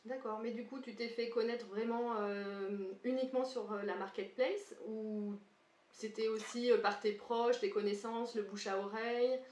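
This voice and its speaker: A woman hosting a podcast, her voice on the higher side and bouncing around an echoey room. She opens with a smooth, polished welcome, then asks her guest questions politely, with some hesitation. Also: steady tone, normal speed, darkish tone. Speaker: podcast host, sales trainer.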